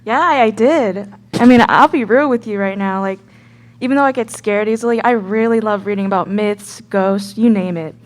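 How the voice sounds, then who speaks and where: A young woman acting as an easily scared myth geek, telling her story in a casual, halting, earnest way. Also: mid-range voice, natural voice. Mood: earnest recounting. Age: young adult. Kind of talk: scripted radio play storytelling.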